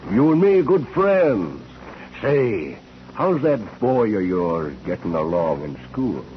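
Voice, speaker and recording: Smug, needling 1940s radio heel. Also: male voice, fairly low pitch, sing-song, slow pace. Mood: mocking, spiteful. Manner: mocking, slangy, chuckling needler. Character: needling, smug, ill-willed male boarder, a heel. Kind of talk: 1940s radio drama acting. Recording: dull, noisy old radio recording.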